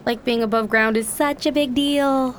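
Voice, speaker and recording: A woman's voice: a little high, steady tone, moderate pace, natural voice. A woman playing a snide, impatient young college student in an audio drama, with a slangy, dismissive way of talking. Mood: snide, mocking.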